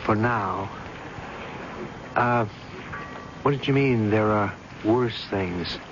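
An actor playing an ardent, protective secret lover in an old-fashioned radio drama, earnest and coaxing. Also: male voice, fairly low pitch, very slow. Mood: grave, confiding. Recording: dull, noisy old radio broadcast recording.

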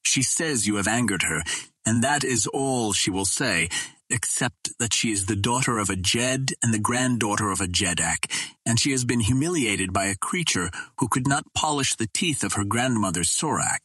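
A man plays a gentle alien woman in an adventure audiobook, puzzled and plain in her explanations. The voice is husky and rather low, recorded close and dry. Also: expressive, normal speed, no room sound, full character voice. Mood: reporting. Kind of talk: storybook character reading.

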